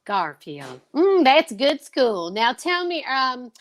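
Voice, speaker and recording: A woman's voice: sits high, big pitch swings, slow, full character voice. A woman voicing a jolly male store Jack Frost who greets children in a hearty, indulgent way, in old-time radio style. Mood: jolly, kindly.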